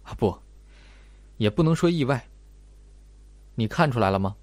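Audiobook role: clever young male sleuth voiced by a man, calm, measured, explanatory, dry sound. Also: medium pitch, no echo, close to an everyday voice. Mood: cool, analytical.

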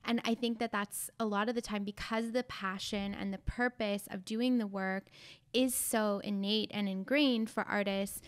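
A woman's voice: medium pitch, steady tone, normal speed. Chatty woman podcast co-host. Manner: reflective, chatty, lots of "like" and "you know". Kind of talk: casual podcast conversation. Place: small studio with brick wall and couch. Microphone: studio mic on boom arm.

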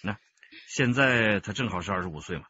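An actor playing a commanding, measured police squad chief in a radio drama, with a husky voice. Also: male voice, lowish voice, lively intonation, bright, forceful tone, dry recording, dull audio, full character voice. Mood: grave, measured.

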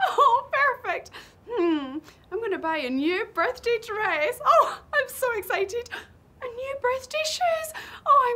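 Casting: very high-voiced, excitable party girl, gushing, theatrical, swooping delivery for a teaching skit. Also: exaggerated voice. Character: giddy, over-excited birthday woman called April. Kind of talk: acted skit for English learners.

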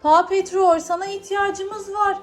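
A woman's voice: extremely high pitch, fairly even intonation, measured pace, faint music behind. A mother chatting playfully as she narrates toy play, often asking questions to prompt her young son. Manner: asks questions to prompt a child.

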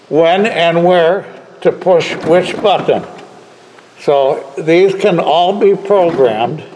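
A man filming a casual guided tour of an old church, narrating slowly with frequent pauses and restarts. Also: fairly high pitch, a little mellow, a little hiss or hum, rough recording. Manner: slow, halting narration with restarts. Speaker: church volunteer giving a video tour. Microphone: handheld camera.

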